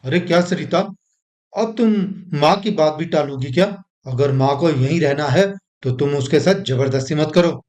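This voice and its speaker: A man's voice: on the high side, moderate pace, a little mellow, natural voice. A man voicing a selfish, scheming young husband in a moral story, dismissive and pushy. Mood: smoothly insistent. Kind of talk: animated moral story dialogue.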